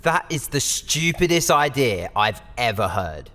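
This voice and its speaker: A man's voice: mid-range voice, sing-song, measured pace, bright, forceful tone. Guy acting a exasperated sarcastic young male prisoner, audio drama, big echoey hall reverb. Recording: long hall-like cell reverb.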